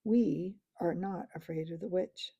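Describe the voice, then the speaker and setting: A defiant male dwarf, voiced by a woman reading aloud who snaps bitterly and rises to shouts. The storybook voice is gravelly and mellow. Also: a little high, animated, measured pace, lo-fi sound, pitched above the performer's own voice. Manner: bitter, snapping, rising to shouts.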